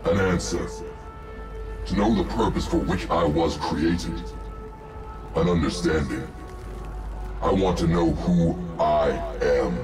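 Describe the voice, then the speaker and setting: A man's voice: sits low, takes it slowly, long pauses. A man playing a rogue, godlike artificial intelligence in a video game, speaking in a solemn, anguished, portentous way. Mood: ominous. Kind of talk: dramatic video game monologue. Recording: distant voice under loud music.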